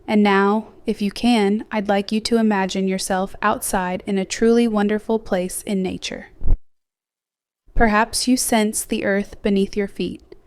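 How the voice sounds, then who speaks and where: Clear, smooth woman guiding sleep meditation. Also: medium pitch, fairly even intonation. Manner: slow, gentle, soothing delivery with soft phrase endings. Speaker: hypnosis guide. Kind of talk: guided sleep meditation, scripted hypnosis narration.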